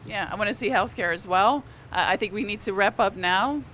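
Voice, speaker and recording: A woman's voice: mid-range voice, fairly quick, fairly bright tone, slight background noise, low-quality recording. A woman whose first language is Portuguese, a newspaper columnist talking politics on a live stream in a chatty way, with fillers and restarts. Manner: conversational, fillers and restarts, rising energy on key points.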